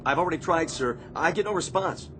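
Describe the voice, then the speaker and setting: A man's voice: a little high, fairly quick, noisy background, natural voice. A man playing a dutiful, plain-spoken navy sailor in a radio drama.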